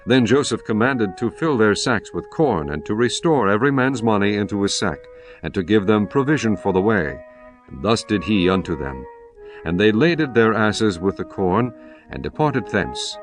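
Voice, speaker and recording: A man narrating scripture in a measured, solemn style. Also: fairly low pitch, animated. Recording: music bed behind voice.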